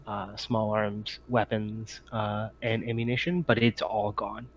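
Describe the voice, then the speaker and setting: A low-pitched man narrates a tabletop role-playing game as game master. He describes things haltingly, making it up as he goes, with some noise in the recording. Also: steady tone, muffled recording.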